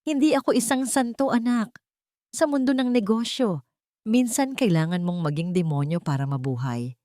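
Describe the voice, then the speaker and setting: A woman's voice: on the high side, lively intonation, normal speed, mellow, no echo, clean studio sound, voice pushed up, natural voice. A woman voicing a powerful older billionaire father in an audio story, grave and confessional, full of regret and protectiveness. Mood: grave, confessing.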